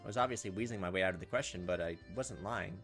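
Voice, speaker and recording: A man's voice: low voice, very fast, fairly bright tone. A man hosting a casual let's play, reading visual novel text aloud and adding offhand, jokey commentary. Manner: jokey asides and reactions. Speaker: gaming video host. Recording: music behind the voice. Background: visual novel game music.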